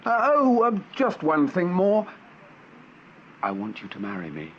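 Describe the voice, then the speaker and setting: A man playing a flippant, romantic young gentleman in a radio drama, with a playful, mock-grand manner. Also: high-pitched, huge pitch swings, unhurried, full character voice. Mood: earnest, joking. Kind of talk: British radio drama acting. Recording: muffled radio recording, faint noise.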